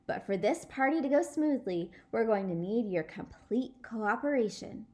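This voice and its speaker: A woman's voice: fairly high pitch, lively intonation, a little mellow, natural voice. Woman playing a formal, announcing storybook dad. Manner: announcing, polite, measured. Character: formal, patient father addressing a party of children.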